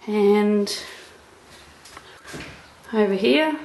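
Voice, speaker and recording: A woman talks through the rooms in a chatty, upbeat way, full of little ums as she goes. Her voice sits at an ordinary middle pitch. It bounces around bare, empty rooms, and a faint hiss sits under the sound of the handheld camera. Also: extremely slow, darkish tone, roomy sound. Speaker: property investor renovating a house. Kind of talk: walkthrough vlog narration. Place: empty house under renovation, indoors.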